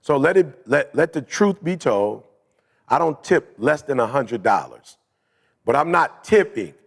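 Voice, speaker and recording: Gravelly-voiced man chatting with long echo. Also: medium pitch, very animated, measured pace. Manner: joking, teasing, slips into preachy talk. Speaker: podcast co-host. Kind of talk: casual chat.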